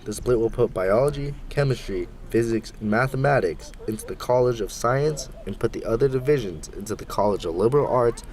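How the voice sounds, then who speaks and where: A young man narrating scripted student news reports as the reporter, in an even, measured delivery. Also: medium pitch. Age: young adult.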